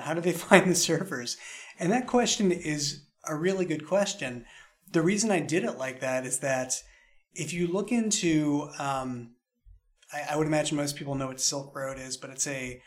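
A man talking casually in a behind-the-scenes discussion, explaining things with an occasional chuckle in his speech. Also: a little high. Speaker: podcast creator in a cast discussion.

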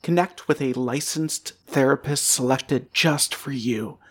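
A man's voice: medium pitch, measured pace, husky. A man delivering a scripted sponsor message as an ad announcer.

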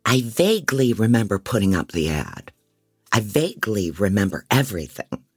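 An actress playing a scattered, jaded woman private detective who narrates bluntly in a deep, husky voice, as in a noir audio drama. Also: big pitch swings, normal speed, dry recording, studio quality, faint music behind, full character voice. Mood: weary, frank. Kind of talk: first-person scripted noir narration.

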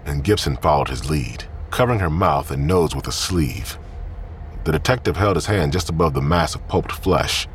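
Male narrator, extremely low voice, faint hiss underneath; measured crime drama read. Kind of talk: scripted audio drama narration.

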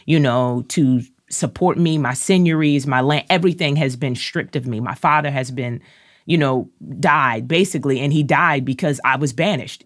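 A woman actor and musician talking in an interview, lively and expressive, often pushing her voice up when she quotes or acts out lines. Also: a bass voice, dry recording, clean studio sound. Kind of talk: animated interview talk.

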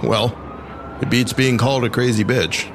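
Man acting a steady police officer amid traffic noise. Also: medium pitch, normal speed, natural voice. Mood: concerned, halting. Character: concerned, steady male police officer on a bridge. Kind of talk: naturalistic audio drama acting. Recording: clear outdoor traffic and vehicle noise. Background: cars and urban outdoor ambience.

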